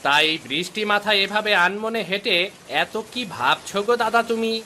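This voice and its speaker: A man's voice: high voice, animated, normal speed, bright, forceful tone, slight background noise, exaggerated voice. A man playing a chatty, mildly chiding village neighbour in a cartoon, with rain behind him. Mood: curious, gossipy.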